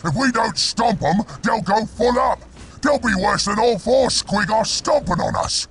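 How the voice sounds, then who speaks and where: A man playing a crude, excitable ork underling in a video game, gravelly, blunt. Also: high-pitched, measured pace, music in the background. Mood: agitated, urging. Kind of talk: cartoonish video game acting.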